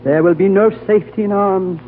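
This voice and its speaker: An actor playing an ancient, wise and gentle high lama with a solemn, fatherly, oratorical manner, in the style of 1940s radio drama. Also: fairly even intonation, brisk, a little hiss or hum, natural voice. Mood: prophetic, solemn. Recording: tinny 1940s radio recording over music.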